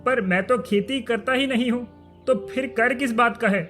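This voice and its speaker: A woman's voice: on the high side, normal speed, fairly bright tone, natural voice. A woman playing a humble, protective village hunter in a cartoon story, polite and questioning. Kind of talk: Hindi cartoon story acting. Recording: music under the voice.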